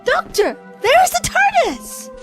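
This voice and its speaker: Very high, earnest young woman, acted out. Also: wildly swooping pitch, a little slow, over music, heavily acted. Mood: concerned. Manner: avoids contractions, stiffly precise diction. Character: earnest, anxious young female companion, stiffly precise. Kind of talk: audio drama acting.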